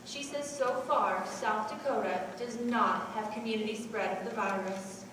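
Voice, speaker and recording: A woman's voice: mid-range voice, normal speed, fairly bright tone, close to an everyday voice. A woman playing a formal news anchor reading bulletins in a stage play. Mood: formal, serious. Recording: echoey hall from far off, noisy.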